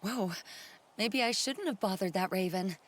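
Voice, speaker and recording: A woman's voice: medium pitch, moderate pace, a little hiss or hum, close to an everyday voice. A woman voicing an earnest researcher heroine who narrates a video game story. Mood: alarmed. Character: earnest female legend researcher, the game's heroine. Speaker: protagonist narrator.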